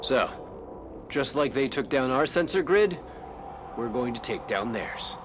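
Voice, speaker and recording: Confident scout over clear noise. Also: male voice, medium pitch, animated, slow pace. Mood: focused, confident. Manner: matter-of-fact, confident. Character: focused male scout officer briefing a mission plan. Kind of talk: cinematic game voice acting.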